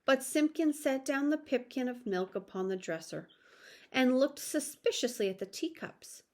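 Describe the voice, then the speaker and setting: Lively high-voiced woman reading a story. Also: normal speed. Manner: animated, with asides to the listener. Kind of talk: expressive read-aloud of a children's story.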